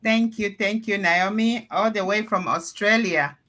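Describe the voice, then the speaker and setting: Bright, upbeat woman hosting a live show. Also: mid-range voice, unhurried. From Cameroon. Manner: upbeat, chatty, energetic. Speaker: host of a live panel show. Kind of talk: casual live-stream chat.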